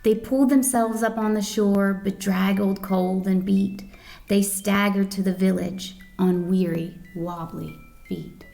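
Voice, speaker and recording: A woman reads a children's picture book aloud in an expressive, dramatic way. Her voice sits at a medium pitch with a creaky edge, and music plays behind her. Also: a little slow. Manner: expressive, dramatic reading with questions to young listeners. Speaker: volunteer storyteller.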